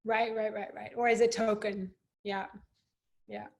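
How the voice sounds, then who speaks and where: A woman's voice: mid-range voice, flat delivery, unhurried. A woman podcast guest speaking in a thoughtful, articulate way during a reflective conversation. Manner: thoughtful, articulate, with frequent agreeing interjections.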